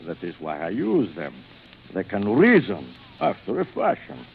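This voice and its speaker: Through a muffled, noisy vintage radio recording comes a cruel, aristocratic older general. His urbane voice swings widely in pitch, lingering theatrically over words as in a 1940s thriller. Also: wildly swooping pitch, gravelly, heavily acted. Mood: coolly menacing. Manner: urbane, theatrical, savoring pauses. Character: suave, cruel aristocratic older general who hunts men.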